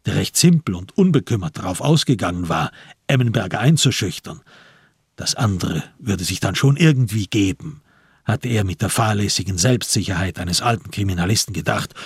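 A male narrator of a literary radio drama. His voice is on the low side and heavily husky. He delivers the prose with measured, dramatic weight in a dry, close studio sound. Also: no room sound.

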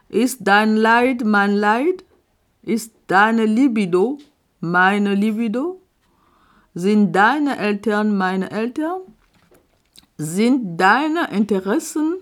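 A woman reading her own reflective poetry aloud in a deliberate spoken-word style, with long pauses between short phrases, on a recording she made herself. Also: on the high side. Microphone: self-recorded audio.

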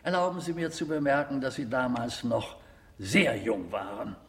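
An actor playing a stern but courteous committee president, questioning with formal authority in a radio drama. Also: male voice, mid-range voice, normal speed, lightly acted. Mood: courteous, probing. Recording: hall with long reverb.

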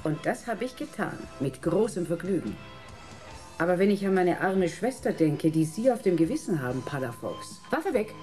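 A woman's voice: on the low side, very animated, moderate pace. An actress playing a bold, commanding woman who is no longer young, in a German radio crime drama. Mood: vengeful. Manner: commanding, sharp orders. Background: music.

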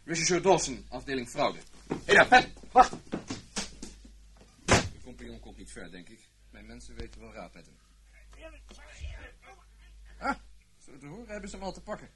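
An actor playing a cunning, smoothly polite fraud detective in a Dutch radio play. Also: male voice, mid-range voice, big pitch swings, moderate pace, long pauses, natural voice. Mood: smugly polite. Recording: noisy old radio play recording, clicks.

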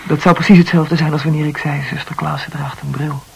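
An actor playing a self-absorbed, intense man in a Dutch radio play, talkative and self-analysing, with halting repetitions. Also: on the high side, steady tone, vocal fry, darkish tone, breathy edge, exaggerated voice. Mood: self-mocking.

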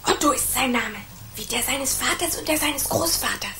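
A performer playing an eager, excitable young boy, the earnest son of a blacksmith, in a historical radio play. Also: high-pitched, normal speed, a little hiss or hum. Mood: excited.

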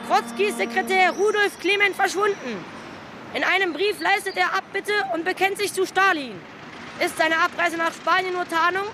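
Very high-pitched news crier. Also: female voice, steady tone, normal speed, faint music behind. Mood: urgent proclaiming. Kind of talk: proclaimed newspaper headlines in radio drama.

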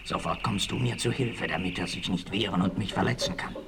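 A man's voice: low voice, brisk, creaky. An actor playing a ruthless, scheming and hot-tempered bandit colonel in a 1960s German audio drama, sly and mocking as he gives orders. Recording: faint noise of an old vinyl record.

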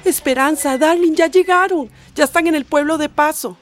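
A woman's voice: high voice, normal speed, over music, lightly acted. An actress playing a determined, hopeful emigrant mother in a radio drama. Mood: excited.

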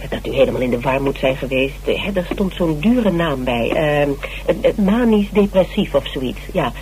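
A man's voice: fairly high pitch, lively intonation, moderate pace, a little mellow, close to an everyday voice, low-quality recording. An actor playing an eager, fawning male criminology lecturer who hesitates and repeats himself in a radio drama. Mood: excited, gossipy. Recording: hissy old radio recording.

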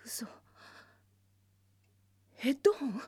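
A woman's voice: takes it slowly, dark tone, no room sound, natural voice. An actress playing a dreamy, wistful young woman in a radio drama. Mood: puzzled.

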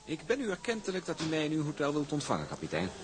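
An actor playing a pompous shipping company envoy in a Dutch period radio drama, formal and wordy, with oily politeness. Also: male voice, on the high side, wildly swooping pitch, very fast. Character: smooth, pompous male shipping company envoy, veiled menace.

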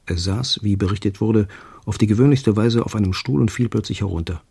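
A man's voice: on the low side, brisk, creaky, warm tone, breathy edge. A man giving a literary reading of short prose as part of a radio feature.

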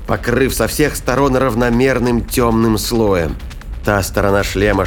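A man's voice: lowish voice, a little hiss or hum. A man narrating a science fiction audio drama in a scripted, dramatic storytelling style. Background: prominent music bed.

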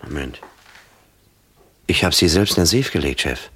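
An actor playing a principled, wronged police detective in a radio drama, plain-spoken and weary. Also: male voice, very deep voice, expressive, moderate pace, pushed and bright, slightly rough, full character voice. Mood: defensive, bitter. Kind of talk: German crime radio drama acting.

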